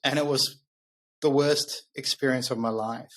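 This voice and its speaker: A man's voice: mid-range voice, fairly even intonation, unhurried, fairly bright tone. A middle-aged man with an Australian accent telling personal stories conversationally as a podcast guest, candid and reflective.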